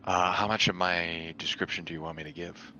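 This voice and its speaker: Deep-voiced male player, blunt dry roleplay chat, slightly dull lo-fi audio. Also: fairly even intonation, brisk, sharp tone. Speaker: player in tabletop game. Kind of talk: casual in-character roleplay chat.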